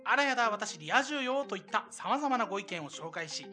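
A young man co-hosting a casual comedic radio chat, throwing in blunt joking retorts in rough, informal speech. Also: lively intonation, brisk, sharp tone. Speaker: radio show co-host. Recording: low-quality webcast with faint music.

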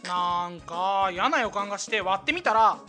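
A woman's voice: mid-range voice, lively intonation, normal speed, bright, forceful tone, soft background music. A woman voicing a cute, easygoing boy in a comedy audio drama, innocent on the surface and casually blunt. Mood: breezily venomous. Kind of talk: comedic anime-style voice drama.

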